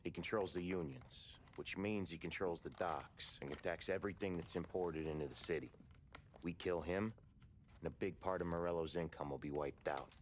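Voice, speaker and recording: Man voicing a very deep gangster henchman, blunt, matter-of-fact. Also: normal speed, slight background noise, lightly acted. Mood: briefing. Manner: flat, matter-of-fact briefing. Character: male gangster lieutenant, blunt and businesslike.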